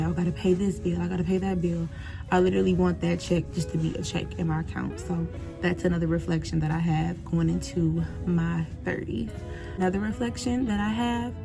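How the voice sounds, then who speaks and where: A woman's voice: fairly low pitch, vocal fry. A woman, talking casually and directly to her viewers in a reflective vlog. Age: 20s. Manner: chatty, direct address to viewers. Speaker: vlogger.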